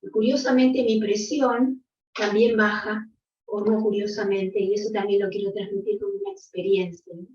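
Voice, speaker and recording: An older woman speaking reflectively and gently as a panelist on a video call, often ending with a soft "¿no?". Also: mid-range voice, lively intonation, normal speed. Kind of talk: reflective conversational talk. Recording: distant, roomy, dull.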